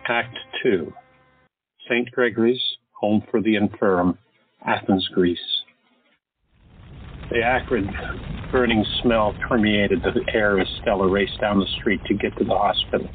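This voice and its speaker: Deep-ish male drama narrator. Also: unhurried, pauses often, slightly rough, no room sound, narrow, tinny audio. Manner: steady, dramatic storytelling. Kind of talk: scripted audio drama narration.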